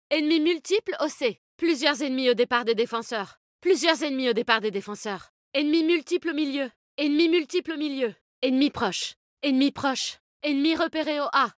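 Woman acting a high-voiced, brash fighter woman. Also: dry recording, studio quality, close to an everyday voice. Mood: brisk, alert. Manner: brisk, confident, slangy. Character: tough, rugged animal-loving female fighter, brash team player. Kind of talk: video game character voice lines.

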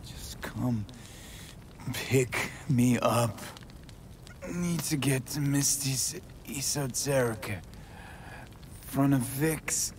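An actor playing a weary, wounded young mercenary in a video game, talking in terse, blunt, halting street language. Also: male voice, mid-range voice, a little hiss or hum. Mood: drained, terse. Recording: music behind the voice.

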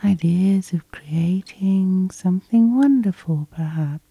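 Soft, breathy woman guiding sleep. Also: lowish voice, dry recording, rough recording. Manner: soft, soothing, slow breathy half-whisper. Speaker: hypnosis guide, host. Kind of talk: whispered sleep hypnosis, ASMR guided meditation.

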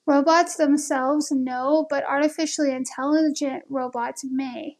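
Woman, very high voice, casual video host, conversational questioning delivery. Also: fairly even intonation, unhurried, a little mellow. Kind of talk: casual conversation with an AI companion on a phone call.

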